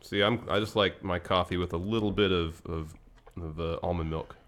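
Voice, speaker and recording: A man's voice: low voice, fairly even intonation, normal speed, fairly bright tone, faint music behind. A man co-hosting a casual livestream, slightly congested with a lingering cough, reading viewer chat in an easygoing way. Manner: easygoing, reads chat aloud. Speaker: podcast co-host.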